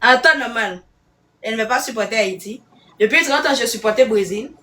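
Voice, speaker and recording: A woman's voice: on the high side, a little fast, sharp tone, recorded from a distance, a little hiss or hum. A woman ranting in a live chat, rambling and emphatic, repeating herself.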